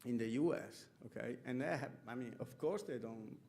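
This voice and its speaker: A man answering audience questions as an invited professor, halting, full of restarts and "okay?" checks. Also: medium pitch, creaky, a little mellow. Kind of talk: panel Q&A answer.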